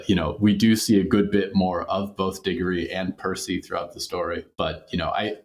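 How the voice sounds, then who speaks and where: Deep voiced guy in a podcast interview, thinking out loud about his creative work. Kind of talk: conversational interview commentary.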